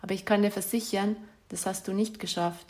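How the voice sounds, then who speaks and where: A woman's voice: medium pitch, fairly even intonation, a little fast, a little mellow. A woman reading out a letter she wrote to her former partner, in a bitter, accusatory and insulting way. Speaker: spurned ex-partner.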